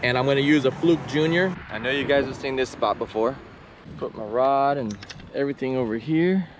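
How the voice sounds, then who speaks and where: Man in his thirties, casual excited fishing vlogger, mid-range voice, outdoor camera mic, clear wind and traffic noise. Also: mid-range voice, brisk. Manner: excited, chatty, hushed when stalking fish. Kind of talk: casual vlog narration and coaching. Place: outdoors by a canal, sometimes in a car. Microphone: action camera.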